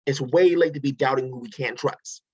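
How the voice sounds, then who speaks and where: A man's voice: mid-range voice, normal speed, a little mellow, breathy edge, dry recording, dull audio, close to an everyday voice. A man playing an impatient, ranting villain boss in an amateur table read. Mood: irritated, impatient.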